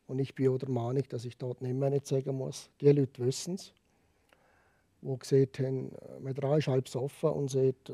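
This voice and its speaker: A man with a Liechtenstein accent telling his personal story candidly as a podcast guest, emphatic and repeating phrases, in a gravelly, husky voice. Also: medium pitch, mellow, no echo.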